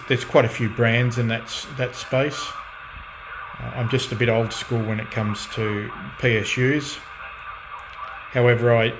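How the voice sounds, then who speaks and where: A man hosting a casual hands-on radio demonstration, talking in a halting way as he thinks aloud. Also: mid-range voice, noisy background. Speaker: radio restorer and video host.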